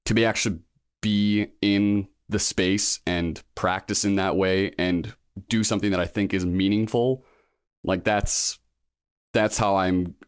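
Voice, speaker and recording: A man's voice: deep voice, clean tone, dry recording, studio quality. A man in his thirties, a guest in a casual interview, talking in a reflective, rambling way with many fillers and restarts. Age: 30s. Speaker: guest, law school applicant.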